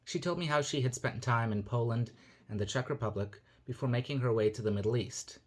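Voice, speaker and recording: A man raised in Nigeria and the United States reading his own reflective personal essay in a measured, earnest manner. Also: mid-range voice. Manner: measured, earnest, thoughtful delivery.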